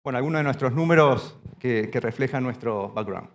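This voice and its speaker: A man from Argentina giving a standing conference presentation in a hall, halting and often restarting his phrases. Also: mid-range voice. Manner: speaks standing up. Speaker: panel speaker.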